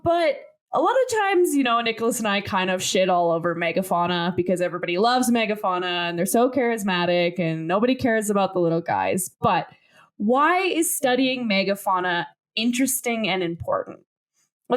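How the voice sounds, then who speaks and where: A woman's voice: mid-range voice, big pitch swings, moderate pace. A young adult woman hosting a science podcast in casual chat, joking and explaining plainly. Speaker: podcast host.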